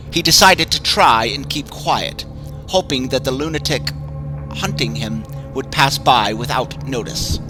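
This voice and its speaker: A man's voice: medium pitch, low-quality recording. Man reading horror over music. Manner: measured, suspenseful delivery. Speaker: narrator. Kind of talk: dramatic horror story reading. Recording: clear music bed under the voice.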